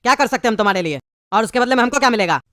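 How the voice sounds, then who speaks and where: A high-voiced performer playing a querulous, haggling pumpkin-man prisoner in a comedy cartoon, with Bihari-style Hindi. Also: lively intonation, rapid-fire, close to an everyday voice. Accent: eastern Indian (Bihari-style) Hindi. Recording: clearly noisy background.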